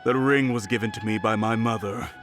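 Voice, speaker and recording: A man's voice: on the low side, steady tone, fairly quick, close to an everyday voice. A man playing a meek, polite innkeeper in a video game, talking in a hesitant, apologetic way. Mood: worried. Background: background music.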